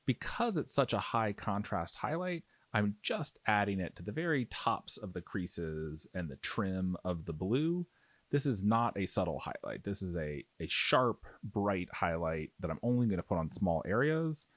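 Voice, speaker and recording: A man with a fairly low voice talks through a hands-on tutorial. His intonation rises and falls a lot as he explains in an easygoing, reassuring manner. The sound is dry and close, with no room echo. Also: sing-song, unhurried. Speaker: miniature painting instructor. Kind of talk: casual tutorial commentary.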